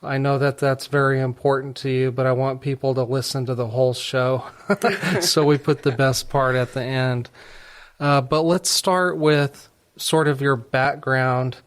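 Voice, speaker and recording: Smooth, even-voiced male radio host. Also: mid-range voice, measured pace. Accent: Texan. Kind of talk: radio talk show hosting.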